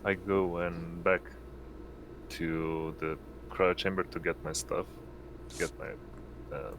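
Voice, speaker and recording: Really deep voiced guy, slow talker, droll little phrases, noisy mic. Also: fairly even intonation. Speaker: tabletop game player. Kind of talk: casual game chat. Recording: clear background hum and noise.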